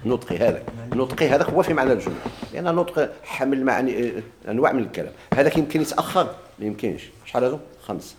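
A man teaching Arabic grammar in a Moroccan accent. He quizzes his students, repeats their answers back to them, and drags out the quoted examples slowly. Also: mid-range voice, lively intonation, noisy background. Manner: drawls quoted examples slowly, quick plain explanations. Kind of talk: classroom lesson, questioning students.

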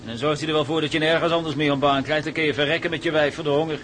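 An actor playing a cynical, blunt deckhand with a sardonic manner in a radio play. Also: male voice, fairly high pitch, steady tone, very fast, a bit bright, a little hiss or hum, close to an everyday voice. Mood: bitter. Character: cynical, sharp-tongued ordinary deckhand.

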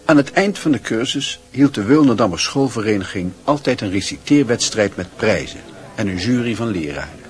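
A man's voice: lowish voice, expressive, normal speed, faint music behind, lightly acted. A man narrating a literary radio drama, reflecting on a schoolboy's story as a scripted storyteller. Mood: reflective.

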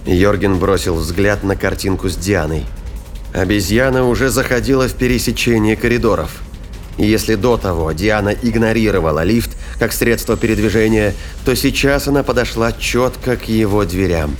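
A man's voice: fairly low pitch, moderate pace. A man narrating a science fiction audio drama in a scripted, dramatic storytelling style. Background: prominent music bed.

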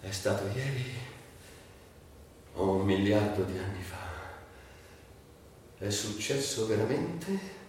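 An actor playing a bitter, sardonic, foul-mouthed sick man in a volatile theatrical monologue, with drawn-out words and breathy outbursts. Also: on the low side, lively intonation, a little mellow. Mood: haunted, wistful. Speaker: stage actor performing a monologue. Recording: echoey hall, distant mic, faint noise.

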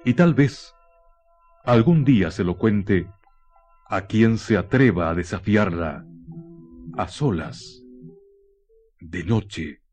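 A man giving dramatic scripted radio narration in a solemn, deliberate manner. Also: lowish voice, expressive, a little mellow, soft background music.

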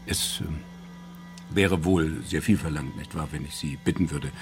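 An actor playing an inquisitive, eccentric psychiatrist in a German radio crime drama, speaking deliberately and theatrically. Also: male voice, rumbling low, steady tone, over music. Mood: grave, emphatic. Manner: theatrical, deliberate, fond of tag questions. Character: inquisitive, eccentric male psychiatrist, measured and probing.

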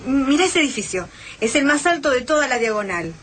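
A wary, practical woman survivor of an invasion as an actress plays her in a radio play. Her voice is high with an Argentine accent, set against clear background noise. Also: sing-song, fairly quick. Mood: wary, determined. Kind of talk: radio drama acting.

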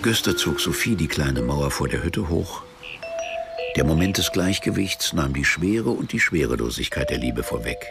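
An actor narrating a literary radio play in a measured, matter-of-fact, sombre way. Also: male voice, rumbling low, animated, gravelly, heavily acted. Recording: music behind the voice. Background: music.

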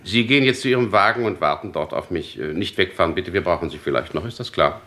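A probing police detective in a radio crime play. His voice sits fairly low and swings widely in pitch as he questions. He is methodical and polite, yet keeps pressing. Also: lively intonation, fast, sharp tone, slight background noise. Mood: commanding, methodical. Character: probing, skeptical male police detective leading an investigation. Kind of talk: German radio crime drama acting.

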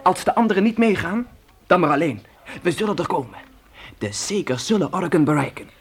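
A man's voice: sits high, animated, fairly quick. An earnest, urgent adolescent boy takes command of his pioneer family in a radio play. His intonation moves about a great deal, and there is a faint hiss on the old recording. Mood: fiercely determined. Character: determined adolescent pioneer boy leading his siblings westward.

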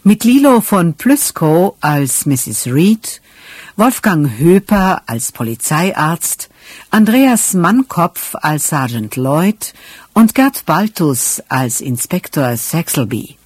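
A woman announcer reading scripted radio drama credits in a formal, deliberate manner. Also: low voice, very animated, breathy edge, no room sound.

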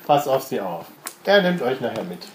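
A man's voice: medium pitch, big pitch swings, moderate pace. A man playing a tabletop role-playing game, talking in casual, in-character banter. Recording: echoey room, faint noise.

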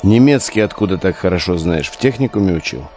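A hardened street tough in an audio drama. He has a lowish voice and a wary, domineering manner, with crude and sardonic phrasing. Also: animated, quick pace, darkish tone, faint music behind. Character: hard, domineering, foul-mouthed gang tough, wary and sardonic.

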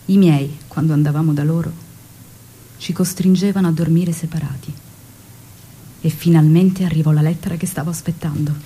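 An actress playing a revolutionary woman in love, recalling her story in a measured, confiding, emotional stage monologue. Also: sits low, fairly even intonation, warm tone, husky. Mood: sorrowful. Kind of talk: dramatic first-person stage monologue.

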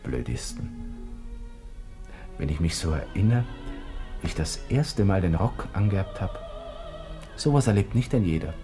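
An actor playing an arrogant, thin-skinned young army lieutenant with an Austrian accent, muttering indignantly in a radio drama monologue. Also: male voice, sits low, animated, normal speed, pauses often, over music. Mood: brooding, offended. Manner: haughty, indignant, muttering to himself. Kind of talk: 1960s German radio drama interior monologue.

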